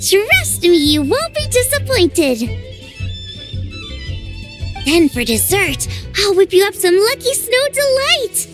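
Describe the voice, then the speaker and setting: A woman's voice: extremely high pitch, very animated, slow, pauses often, exaggerated voice. A woman voicing a cheerful young female restaurant chef who talks in a bubbly, upbeat way. It is game dialogue with music behind it. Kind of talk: game voice acting.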